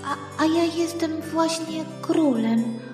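A woman's voice: very high voice, slow, a little mellow, pitched above the performer's own voice. A woman voicing a chirpy, naive little girl queen with a sing-song delivery in a children's history story. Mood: cheeky. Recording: reverberant hall effect, children's music behind.

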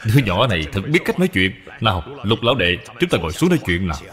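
A man's voice: lowish voice, very animated, racing. A man voicing a genial great general, expansive and welcoming, in a cartoon. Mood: fondly impressed. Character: genial great general, hearty older family friend.

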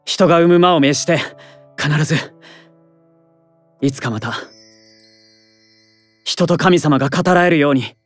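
A man's voice: on the high side, a little slow, long pauses, faint music behind, lightly acted. A man playing a resolute, grieving young male student in an audio drama, speaking earnestly and with feeling. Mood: impassioned resolve. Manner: earnest, emotionally swinging. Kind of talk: Japanese voice drama acting.

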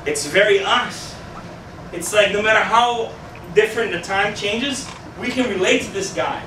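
A youth pastor in his thirties talks with a stuffed-up nose in a high voice, chatting and quizzing his listeners. The voice comes from a distant camera in an echoey room, with a steady hiss underneath. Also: measured pace. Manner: conversational, asks the audience questions, gestures widely. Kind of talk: casual youth sermon. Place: small meeting room with a youth group. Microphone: far camera, no mic.

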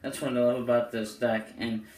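A young man with a hoarse voice giving a casual, rambling product review to camera as the host. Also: medium pitch, little pitch movement, normal speed, darkish tone. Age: young adult. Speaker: host of playing card deck reviews. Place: small bedroom, indoors. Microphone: camera mic a short way off. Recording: roomy echo, clear background noise.

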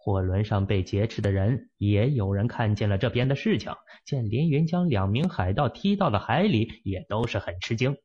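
A man reads a novel aloud as a dramatic storyteller. His voice is low and husky, and his pitch swings widely. The recording sounds dull and lo-fi. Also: lively intonation, moderate pace, few pauses, darkish tone. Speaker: audiobook narrator.

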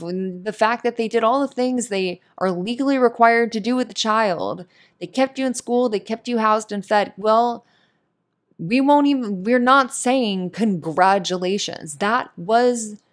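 A woman giving casual, comforting advice in a monologue, speaking in an earnest, opinionated and emphatic way. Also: fairly high pitch. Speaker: voice actor giving advice to a listener.

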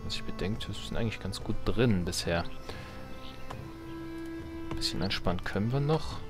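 Low-voiced man doing casual game commentary. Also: animated, normal speed, over music. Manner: jokey, slangy, talking to himself and to game units. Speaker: let's player.

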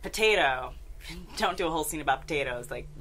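A woman's voice: low-pitched, expressive, brisk, a bit bright. A woman chatting casually as a panelist in a studio. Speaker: panelist, table-read actor. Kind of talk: casual chat. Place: podcast studio.

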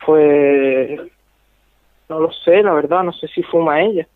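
A man's voice: a little high, slow, no room sound. A young man on a phone call, speaking hesitantly and repeating himself. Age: young adult. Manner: hesitant, repetitive. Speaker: prank call victim. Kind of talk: phone conversation.

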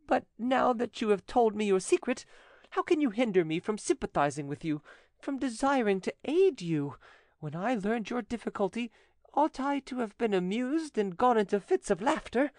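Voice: mid-range voice, animated, moderate pace, darkish tone, no echo, studio quality, pitched above the performer's own voice, natural voice. A narrator voicing a timid yet insistent, doting French widow in an audiobook story. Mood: indignant, pleading.

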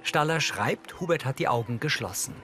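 A man's voice: medium pitch, expressive, moderate pace, music in the background. A man reading scripted audio description for a TV series in a neutral, matter-of-fact manner. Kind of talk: scripted scene narration, present tense.

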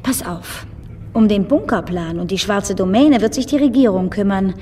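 Actress as a brisk, outspoken woman. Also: medium pitch, warm tone, slight background noise, natural voice. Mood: opinionated, persuasive. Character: brisk, outspoken woman business confidante in a future world. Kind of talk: German radio drama acting. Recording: studio radio drama with faint music.

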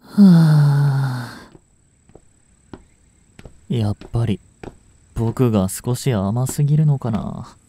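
A man playing a gentle, brooding male chemistry teacher in a voice drama, soft-spoken with sighs and murmurs. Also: medium pitch, animated, extremely slow, long pauses, husky, full character voice. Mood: hurt, taken aback. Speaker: voice actor in a fan voice drama. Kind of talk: Japanese audio drama monologue, intimate acting.

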